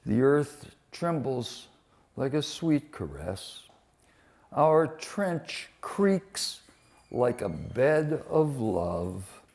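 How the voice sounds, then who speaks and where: A man giving a dramatic live poetry reading, delivering short phrases deliberately with weighted pauses. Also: medium pitch, sing-song, darkish tone. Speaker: poet reading translated war poems at a poetry event.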